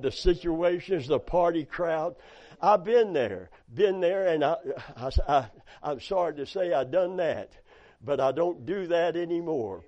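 A hoarse preacher, his voice pitched a little high for a man. He tells folksy stories from the pulpit and breaks in with amen and hallelujah. The recording sounds dull and lo-fi. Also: mellow. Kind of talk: sermon.

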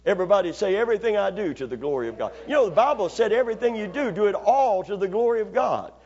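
A higher-voiced male pastor preaches in a church, calling out for amens and drawing the congregation into his message. The recording sounds dull and lo-fi, as though captured on older church equipment. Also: lively intonation, fast, a little mellow. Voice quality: raspy after Sunday preaching. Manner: call-and-response preaching, asks for amens. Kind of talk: sermon. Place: church sanctuary.

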